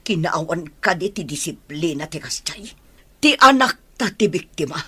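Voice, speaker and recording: Woman playing a husky, bright-voiced worried mother, Ilocano. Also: deep voice, animated, normal speed, dry recording, exaggerated voice. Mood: reproachful, worried. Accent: Filipino, Ilocano. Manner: pleading, reproachful. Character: worried, pleading mother defending her daughter. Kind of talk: radio drama acting.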